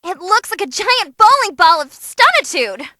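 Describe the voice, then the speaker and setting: An actress voicing an excitable, cheeky young female ring announcer, giving animated, theatrical fight commentary in a video game. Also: extremely high pitch, normal speed, no echo, studio quality, full character voice. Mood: excited commentating. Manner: perky, theatrical, wisecracking. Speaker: match commentator.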